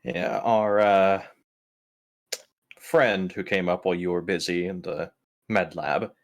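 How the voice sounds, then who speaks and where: A man's voice: sits low, steady tone, takes it slowly, gravelly. A man playing in a tabletop roleplay, talking casually in character with a questioning, dryly wry manner.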